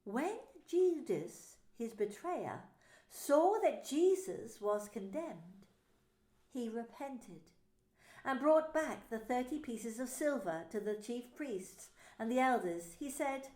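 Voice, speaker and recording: A woman's voice: on the high side, animated, slow pace, mellow. A woman minister narrating a dramatised scripture story, telling it expressively. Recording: roomy echo.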